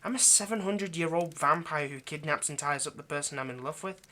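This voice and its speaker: A man playing an anxious, lovesick centuries-old vampire kidnapper in an intimate roleplay monologue, speaking in a halting, coaxing, rambling way straight to the listener. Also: fairly high pitch, sharp tone. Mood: wry, indignant.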